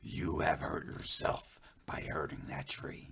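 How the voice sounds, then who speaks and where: A man voicing a calm, stern male forest guide spirit in a storytelling piece, speaking slowly and solemnly in a hushed, whispery voice. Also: rumbling low, vocal fry, voice pushed down, exaggerated voice. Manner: slow, solemn, measured.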